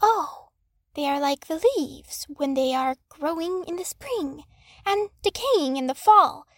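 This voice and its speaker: Woman voicing a small friendly male snake, high-pitched. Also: animated, slow pace, dry recording, studio quality.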